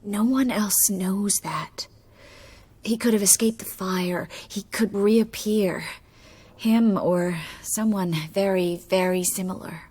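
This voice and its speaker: An actress playing a resolute young sorceress in a video game, wry and weary, with a measured, breathy delivery. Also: female voice, medium pitch, steady tone, mellow. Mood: conspiratorial.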